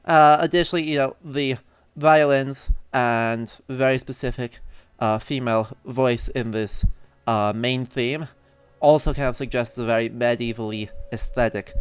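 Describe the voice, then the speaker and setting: A man's voice: mid-range voice, fairly even intonation, a little slow, clean tone. A man giving casual live game commentary as a streamer, chatty and wry with scholarly asides. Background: faint game music.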